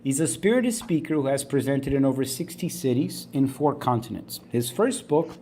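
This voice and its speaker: A man's voice: mid-range voice, lively intonation, moderate pace, dark tone, slight background noise. A man hosting a podcast, introducing his guest and asking questions in an upbeat, enthusiastic, conversational way. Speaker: podcast host. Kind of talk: casual interview conversation.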